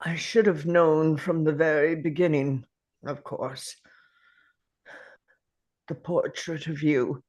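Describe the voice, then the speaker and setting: An actor playing a dying, grim and weary alien Time Lord in a dramatic script read, with a halting, pained delivery full of sighs. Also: mid-range voice, steady tone, a little mellow. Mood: brooding.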